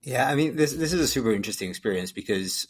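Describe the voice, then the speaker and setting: A man's voice: medium pitch, lively intonation, quick pace, husky. A young man who grew up in Costa Rica and Hungary, hosting a podcast and asking curious follow-up questions. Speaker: podcast host. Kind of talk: casual interview conversation.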